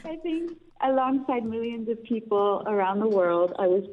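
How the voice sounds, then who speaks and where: A woman's voice: fairly high pitch, lively intonation, moderate pace, a loud crowd. A woman from Austria, a radio interview guest calling in, speaking in a reflective, earnest way with many restarts. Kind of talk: radio interview, thoughtful opinion. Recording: tinny phone line.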